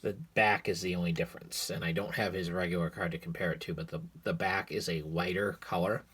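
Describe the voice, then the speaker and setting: Male vlogger, deep and husky, hoarse with a cold, easygoing and rambling, recorded on a phone at home. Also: fairly quick. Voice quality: raspy. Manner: relaxed, rambling, with frequent fillers. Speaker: sports card collector vlogger. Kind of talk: casual show-and-tell vlog. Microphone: cell phone.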